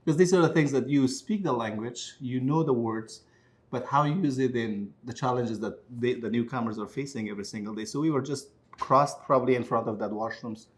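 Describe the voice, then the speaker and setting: A middle-aged man from Afghanistan, an English speaker, telling a story in conversation, animated and laughing at his own anecdotes. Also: mid-range voice, brisk. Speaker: storytelling guest.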